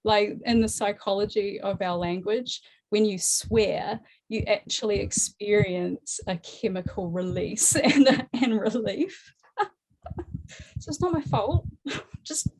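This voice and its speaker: A woman's voice: mid-range voice, takes it slowly, darkish tone, poor audio. A woman in her forties with a New Zealand accent, a guest talking casually in an interview, chatty with frequent laughs and many fillers. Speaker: guest artist.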